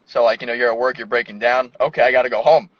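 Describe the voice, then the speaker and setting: A man's voice: mid-range voice, fairly even intonation, quick pace, dry recording. A man speaking as a guest in a casual chat, loose and chatty, filling his speech with "like". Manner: loose, chatty, heavy use of "like". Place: inside a parked car. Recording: dry, dull lo-fi sound in a car.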